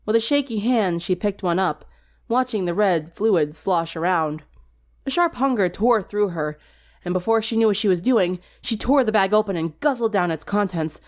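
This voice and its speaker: A woman's voice: medium pitch, expressive, moderate pace. A woman reading a scripted story aloud as a dramatic narrator. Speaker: fan fiction narrator.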